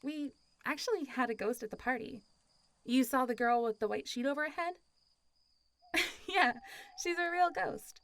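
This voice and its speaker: A woman's voice: sits high, moderate pace, long pauses, fairly bright tone, no room sound. A woman playing a friendly, teasing, centuries-old zombie girl in an intimate roleplay audio drama, talking in a casual, playful way. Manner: casual, playful, teasing, often laughing and sighing.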